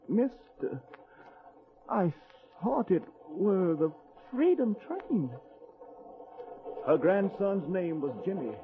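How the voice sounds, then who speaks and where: Earnest American everyman, high, sweeping voice. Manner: theatrical, emphatic, rhythmic delivery with folksy dialect. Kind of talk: dramatic poetry recitation in old-time radio drama. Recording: dull old radio recording, music behind.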